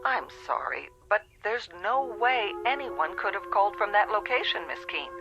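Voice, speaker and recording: An actress playing a patient, matter-of-fact telephone operator in a vintage television drama, heard as if over the phone. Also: female voice, medium pitch, animated, music in the background. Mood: gently firm. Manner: measured, polite, apologetic.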